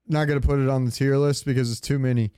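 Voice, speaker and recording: A man's voice: mid-range voice, level tone, fast talker, dark tone, clean tone, dry recording, clean studio sound. A man co-hosting a casual podcast, talking in a rambling, enthusiastic fan's way. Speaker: podcast co-host. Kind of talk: casual chat about games.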